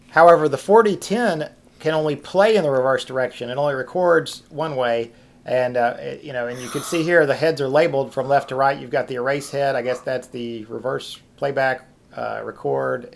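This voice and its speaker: A man's voice: medium pitch, creaky. A man hosting a video, giving a casual, informal and somewhat hesitant unscripted demonstration as he talks. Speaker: video host.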